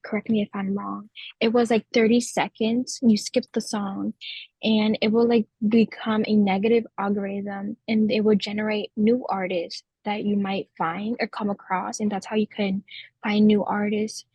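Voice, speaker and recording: A woman's voice: mid-range voice, mellow, slightly rough, dry recording, poor audio. An eighteen-year-old woman explaining her views earnestly in a student podcast discussion. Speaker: student panelist.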